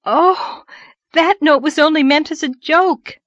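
Woman acting a high-pitched, excitable young schoolteacher. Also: a little slow, no echo, dull audio. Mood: flustered, astonished. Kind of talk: audiobook character voice.

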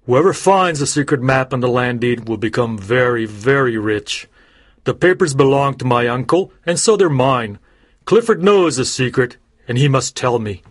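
A male audiobook narrator playing a greedy old judge who turns from false friendliness to threats, in a husky voice. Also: medium pitch, lightly acted. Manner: smooth, menacing. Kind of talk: audiobook character voice.

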